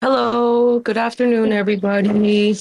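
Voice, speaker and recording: A woman's voice: fairly high pitch, level tone, moderate pace, a little mellow, slight background noise, poor audio. A woman who is a regular on the show, chatting casually in an informal, teasing manner. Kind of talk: casual chat.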